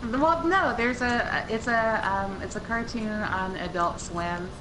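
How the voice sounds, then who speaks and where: A woman chatting casually with friends while they play a game online, with animated bursts. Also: medium pitch, slow, background noise. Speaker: gaming guest. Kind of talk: casual gaming chat.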